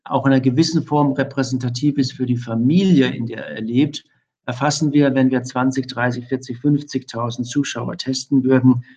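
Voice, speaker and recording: A middle-aged man answering interview questions as an infection medicine expert, speaking in long, measured, formal sentences full of academic vocabulary. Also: mid-range voice, steady tone, dark tone. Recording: dull lo-fi audio.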